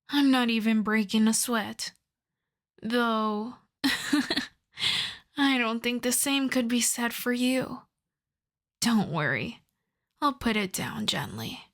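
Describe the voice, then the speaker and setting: An obsessive yandere vampire woman acted by a female performer with a fairly high voice. She speaks very slowly in an intimate ASMR roleplay, savoring her words with breathy, possessive hunger. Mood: smug, teasing. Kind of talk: ASMR roleplay monologue to the listener.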